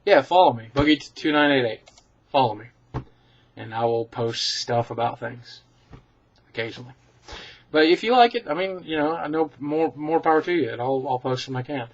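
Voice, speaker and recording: A man in a room at home talks straight to his webcam, rambling through viewer questions with candid, self-deprecating humor. His voice sits at an ordinary middle pitch and comes through slightly dull and lo-fi. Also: moderate pace. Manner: chatty, candid and self-deprecating, with many ums and restarts. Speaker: vlogger answering viewer questions. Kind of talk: casual rambling Q and A.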